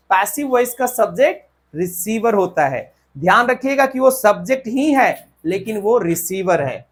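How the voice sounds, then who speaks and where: Female teacher, Indian accent, higher-pitched voice. Instructional delivery, repeats key points, checks understanding. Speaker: English grammar teacher. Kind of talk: instructional lesson, explaining step by step.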